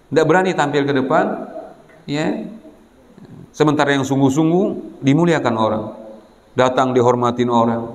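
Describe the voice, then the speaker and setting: A man giving a religious exhortation to students, admonishing and repeating his points, often checking in with listeners. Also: mid-range voice. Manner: repetitive, admonishing, frequent "ya" check-ins to listeners. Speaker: Islamic boarding school teacher and preacher. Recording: long hall reverb.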